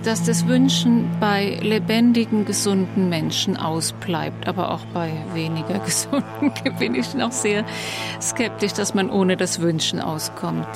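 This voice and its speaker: A woman's voice: mid-range voice, creaky. A woman giving a considered opinion as an interviewee, in formal, carefully argued spoken sentences. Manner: formal wording, measured argument. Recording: music behind the voice.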